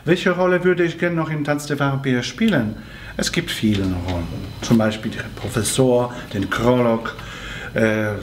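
A man in his forties from Poland, a native Polish speaker with a Polish accent, answering fan questions in a seated video interview in careful, slightly halting phrasing. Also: medium pitch. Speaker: musical theatre actor answering fan questions. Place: small room with red curtain and patterned wallpaper, indoors.

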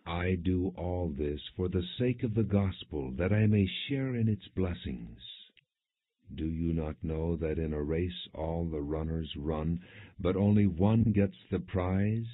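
Guy reading bible audiobook, measured, husky and dry, no room sound, narrow tinny audio. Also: low voice, dry recording. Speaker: audiobook narrator. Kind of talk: scripted Bible reading, non-dramatized. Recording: muffled, narrow phone-like audio.

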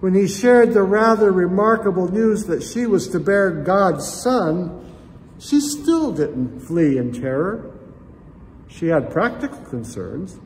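A man's voice: high-pitched, expressive, slow pace. An older man preaching a reflective sermon in a church sanctuary, gesturing as he talks and addressing listeners as friends. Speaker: pastor. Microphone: camera at chest height, seated facing it. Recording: long church reverb, faint room noise.

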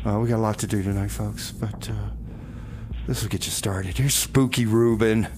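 A man's voice: lowish voice, steady tone, normal speed, darkish tone, a little hiss or hum. A man hosting a community radio show with casual comic patter, rambling and repeating his phrases in a folksy way.

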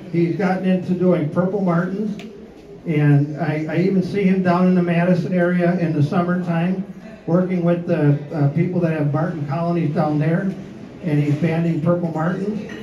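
A man introduces a convention speaker in a friendly, rambling way. His voice sits high. The dull sound comes from far off in an echoey hall. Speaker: event host introducing the speaker.

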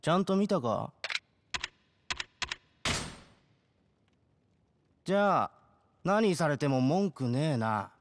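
A man's voice: fairly high pitch, very animated, normal speed, noisy background. An actor playing a menacing street thug guarding his turf in a game cutscene, brusque and threatening. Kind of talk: Japanese game cutscene acting. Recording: loud music behind the voice.

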